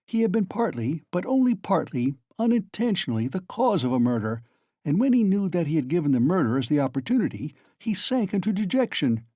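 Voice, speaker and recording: A man's voice: on the high side, dark tone, no echo, clean studio sound, voice pushed up. A man voicing a sly, genial middle-aged male magistrate who questions in a wheedling, insinuating way. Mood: confiding.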